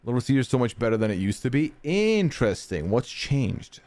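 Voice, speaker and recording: A man's voice: medium pitch, very animated, a little fast, darkish tone. A man streaming and answering chat in a rambling, casual way with frequent laughs, his accent sometimes taken for British. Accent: mixed, sometimes heard as British. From North America. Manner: chatty, rambling, laughs often.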